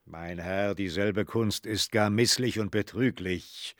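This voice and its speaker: A man's voice: on the low side, slow, a bit bright, no echo, studio quality. A man playing a sick, grave old steward who tells fortunes in a radio drama, deferential and evasive in archaic formal speech. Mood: evasive, courteous. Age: older.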